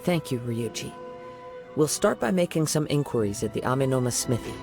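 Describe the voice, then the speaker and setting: An actor playing a calm, courteous young samurai in a game, speaking in a formal, soft-spoken way. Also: male voice, mid-range voice, brisk, creaky. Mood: composed. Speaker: wandering samurai. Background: game music.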